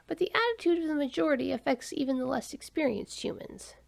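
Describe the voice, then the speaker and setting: A woman's voice: lively intonation, quick pace, creaky, exaggerated voice. A woman voicing a small, anxious male alien scientist who explains himself nervously. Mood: defensive. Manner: nervous, high, defensive explaining.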